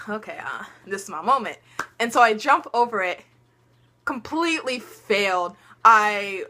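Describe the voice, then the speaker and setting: A woman's voice: mid-range voice, pushed and bright. A teenage girl vlogger telling funny stories in casual chat, laughing often and dropping lots of ums.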